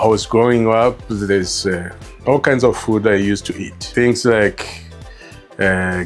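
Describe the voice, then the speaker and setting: A middle-aged man from Rwanda with a Rwandan accent tells his personal story as an interview guest, halting and repeating words as he reflects. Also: mid-range voice. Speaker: interview guest, store co-founder.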